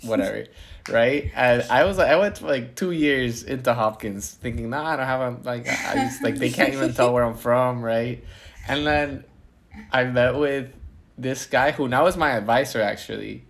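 A young man with a Puerto Rican Spanish accent, speaking at a mid pitch. He talks in a relaxed, storytelling way and laughs easily. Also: moderate pace, gravelly. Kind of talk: casual conversation.

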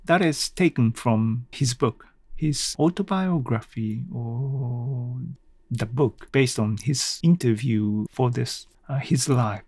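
A man from Japan, a native Japanese speaker with a Japanese accent, hosting a casual solo podcast and haltingly thinking aloud. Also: medium pitch, darkish tone, no room sound. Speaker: podcast host.

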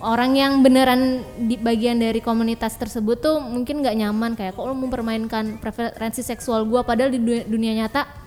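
A woman's voice: on the high side, fairly even intonation, moderate pace, darkish tone. A young woman co-hosting a podcast, chatting casually with lots of laughter and slang. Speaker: podcast co-host. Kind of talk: casual chat. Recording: hall reverb with faint music.

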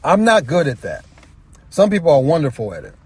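A man's voice: on the high side, wildly swooping pitch, fairly quick, darkish tone, slightly rough, no room sound. A man speaking as a guest author, talking reflectively and conversationally about his own writing, repeating phrases for emphasis.

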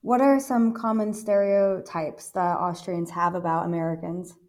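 Woman podcast host, a touch of fry in her voice, friendly and orderly. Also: lowish voice, fairly even intonation, moderate pace, a little mellow. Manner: friendly, orderly questions and scripted sign-off. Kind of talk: interviewer.

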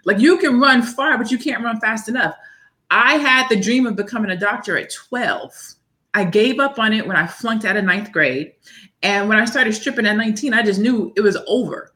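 A woman's voice: a little high, moderate pace. A woman in her forties talking earnestly as a guest about her book, often restarting and repeating words as she builds up steam. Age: 40s. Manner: earnest, faith-driven, repeats words when excited. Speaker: author and guest. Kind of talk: casual interview chat.

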